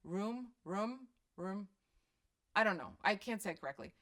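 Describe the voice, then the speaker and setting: A woman's voice: mid-range voice, expressive, unhurried, a bit bright. Woman, Croatian accent, middle-aged, chatty, candid, self-deprecating, casual live-stream parenting talk. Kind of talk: casual chat, answering viewer questions.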